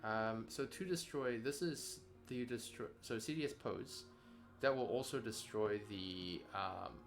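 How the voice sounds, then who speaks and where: A man learning software in a casual walkthrough, tentatively thinking aloud and checking his understanding. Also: lowish voice, unhurried, faint music behind.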